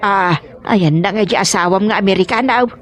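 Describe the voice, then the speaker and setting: A woman's voice: medium pitch, moderate pace, lightly acted. A woman with an Ilocano accent playing a grumbling, gossipy older mother-in-law in a comedy drama. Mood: complaining.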